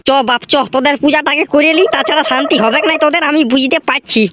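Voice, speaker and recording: A man voicing a grumbling, put-upon village priest in a comic cartoon, with a rustic Purulia Bengali accent and a pitched-up voice. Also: fairly even intonation, fast. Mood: flustered. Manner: scolding, rustic, exclamatory. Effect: pitch-shifted up. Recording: clearly noisy track with clicks.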